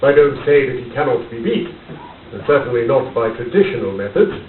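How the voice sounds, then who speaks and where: An actor playing a grave, commanding English detective in a radio drama, speaking formally and deliberately. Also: male voice, medium pitch, close to an everyday voice. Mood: brooding. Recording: tinny, roomy, distant, hissy amateur recording.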